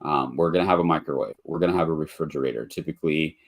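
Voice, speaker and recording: Super deep guy doing a webinar, kinda stuffy nose, lots of ums. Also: very fast. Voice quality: nasal. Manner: rambling, many ums and restarts. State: congested from allergies. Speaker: webinar host, motel investor. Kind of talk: casual live webinar presentation.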